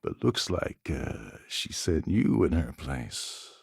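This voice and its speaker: A man playing a gruff, sardonic space pirate con man in a video game, with a gravelly, husky voice and a wry, scheming manner. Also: rumbling low, big pitch swings, slow pace, a little mellow, no echo, studio quality, full character voice. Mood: sly, measured. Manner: wry, sarcastic, world-weary.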